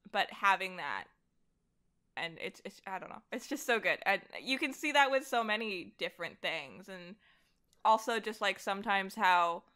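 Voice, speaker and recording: A woman's voice: mid-range voice, lively intonation, moderate pace, pushed and bright. A woman talking as a podcast guest in an informal, enthusiastic conversation. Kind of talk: casual chat.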